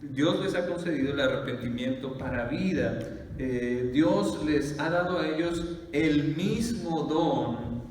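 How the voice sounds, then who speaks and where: A pastor, preaching in a conversational style full of "eh" fillers and rhetorical questions. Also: male voice, fairly high pitch, animated, takes it slowly, a little mellow. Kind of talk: sermon. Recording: echoey hall from far off.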